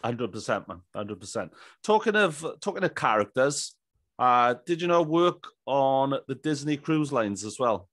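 A man's voice: medium pitch, very animated, unhurried, a bit bright, no echo. Man with a dry, close sound. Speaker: podcast host. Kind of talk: casual interview chat. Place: small indoor room, on video call. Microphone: studio microphone on boom arm.

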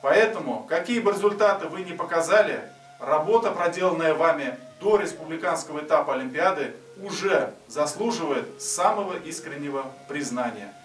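Middle-aged man, official tone, fairly high voice, roomy distant sound with faint music. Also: animated, slight background noise. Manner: measured, official. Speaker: institute director. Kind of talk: formal recorded greeting. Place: indoor office.

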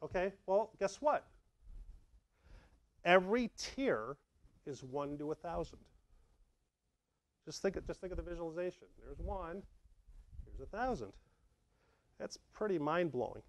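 A man's voice: sits high, a little slow, long pauses, poor audio. A middle-aged man giving a conversational tech talk at a meetup, acting out small scenes for his audience. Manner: lively, conversational, acts out examples. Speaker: tech presenter. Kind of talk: meetup slide presentation. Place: dark meeting room with projector.

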